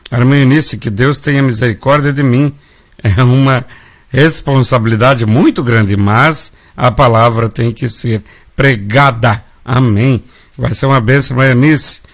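A man's voice: mid-range voice, measured pace. A Brazilian man hosting a live gospel radio show, folksy and calling his listeners family. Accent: Brazilian Portuguese. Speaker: radio host.

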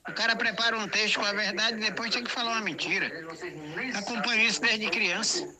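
Brazilian guy calling into radio with his opinions, pretty high voice, crowd noise behind him. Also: fairly even intonation, fast. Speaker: radio call-in commentator. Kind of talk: opinionated commentary.

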